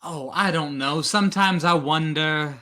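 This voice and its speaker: A man's voice: a little high, measured pace, pushed and bright. A man acting in an old-time radio drama as a wry, well-spoken radio actor who is easily flustered.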